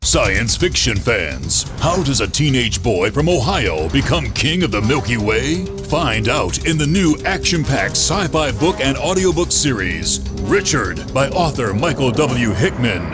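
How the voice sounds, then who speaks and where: Animated male ad announcer over music. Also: medium pitch, unhurried. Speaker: advertisement announcer. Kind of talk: promotional audiobook ad read.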